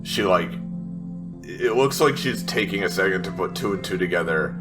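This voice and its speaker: Halting low-voiced man playing a tabletop game. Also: animated, loud music behind the voice. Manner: hesitant, halting. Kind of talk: casual roleplay, narrating a character in third person.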